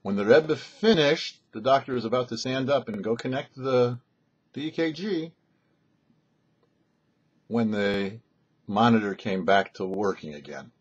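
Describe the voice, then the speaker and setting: A man telling a story as a show host, in a measured, deliberate narration with dramatic pauses. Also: medium pitch, animated, slightly rough. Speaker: rabbi and radio storyteller, host. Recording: dull, muffled lo-fi recording.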